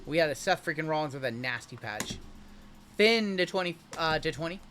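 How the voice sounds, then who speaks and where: Hyped live-stream card break host, a man with a high, bright, strained voice calling out cards. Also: animated, a little fast, slight background noise. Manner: hyped, joking, calls out cards as they are pulled. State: voice going hoarse from shouting. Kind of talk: livestream card break commentary.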